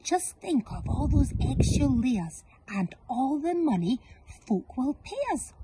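A woman's voice: high-pitched, very animated, a little slow, noisy background, pitched above the performer's own voice. A woman voicing two boastful male thieves in a children's storybook, gleeful as they plot. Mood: greedy.

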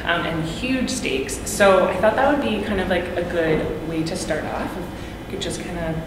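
Brief: low, chatty woman moderating a panel on a table mic, roomy hall sound. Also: normal speed. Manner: chatty, lots of "like" and "um", acts out quoted exchanges. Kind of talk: casual panel talk. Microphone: table microphone. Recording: echoey hall with background noise.

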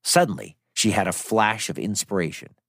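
A man's voice: fairly low pitch, moderate pace, a bit bright, no echo, clean studio sound. A man narrating a children's myth as storyteller, in a playful, conversational way. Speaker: storyteller host.

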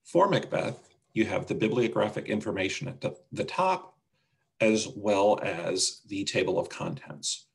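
Low, slightly gravelly male webinar presenter. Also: lively intonation, a little slow. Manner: explanatory, step-by-step. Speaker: webinar presenter demonstrating a website. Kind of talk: casual software walkthrough. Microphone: video call.

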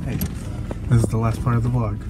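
A young man talks casually and cracks jokes from inside a moving car, his voice sitting at a middle pitch. A phone camera in the cabin picks him up, with loud road and engine noise underneath. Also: little pitch movement, brisk, distant mic. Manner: joking, laughs often. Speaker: vlogger. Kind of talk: casual chat. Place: inside a car on suburban streets.

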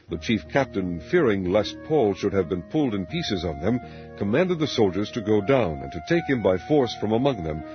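Low-voiced man solemnly reading scripture. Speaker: Bible narrator. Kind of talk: scripted dramatic reading of King James scripture. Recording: dull lo-fi audio with music underneath. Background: background music.

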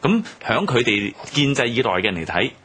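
A man with a Hong Kong accent, giving measured, explanatory analysis in a radio discussion. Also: mid-range voice, sing-song, bright, forceful tone, a little hiss or hum.

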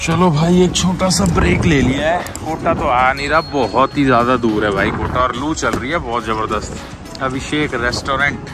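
A man's voice: medium pitch, steady tone, normal speed. A man talking casually and informally as a travel vlogger on a road trip. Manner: chatty, informal, colloquial. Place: in a car and at roadside stops. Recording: loud music under speech, vehicle noise. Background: loud music, car sounds.

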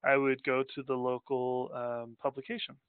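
A man's voice: medium pitch, little pitch movement, normal speed, clear, resonant tone, no room sound. Danish-born guy rambling through a webinar, lots of ums, sounds like a phone line, totally dry. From Denmark. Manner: casual, rambling, with many fillers and restarts. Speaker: company president presenting a webinar. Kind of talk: unscripted webinar presentation over slides. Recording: tinny phone-like audio, dry.

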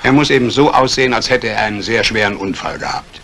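An actor playing a scheming embezzler in a 1950s radio crime drama, calculating and menacingly polite. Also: male voice, fairly low pitch, expressive, quick pace, creaky, a bit bright, slight background noise. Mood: coolly scheming. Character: cold, scheming embezzling banker plotting murder.

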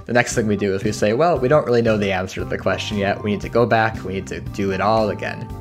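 A man speaking as a scientist guest, explaining research step by step in a measured way. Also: on the low side. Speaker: dog cognition scientist, guest. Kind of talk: explanatory interview talk. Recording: guitar music behind the voice.

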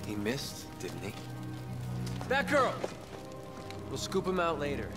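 Confident young hero sidekick. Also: male voice, a little high, wildly swooping pitch, slow pace, heavily acted. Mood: steady. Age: young adult. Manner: easygoing, reassuring, confident. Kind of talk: video game dramatic acting. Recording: loud music over the voice.

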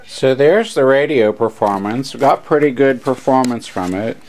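A man's voice: mid-range voice, animated, moderate pace, a little mellow. A man giving a casual, plain-spoken repair demonstration, rambling along unscripted as he presents. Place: small shop, indoors. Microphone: handheld camera, operator behind it.

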